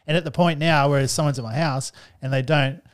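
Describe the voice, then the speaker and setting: A man's voice: on the high side, fairly even intonation, fairly quick, clean tone, no room sound, studio quality. A young Australian man telling stories in casual podcast chat, laid-back and swearing freely. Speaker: podcast host.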